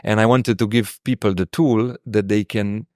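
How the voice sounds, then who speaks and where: A man from Slovenia chats as a guest on an interview podcast, pausing often and restarting his sentences. His voice sits low and sounds smooth and resonant. The sound is dry and close. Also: fairly even intonation, a little mellow, no echo. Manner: hesitant, many fillers and restarts. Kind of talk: casual interview chat.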